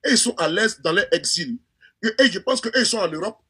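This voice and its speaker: A man's voice: high voice, expressive, fairly quick, sharp tone, slightly rough. A man with an Ivorian French accent giving impassioned political commentary, fervent and repetitive, full of restarts. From Côte d'Ivoire.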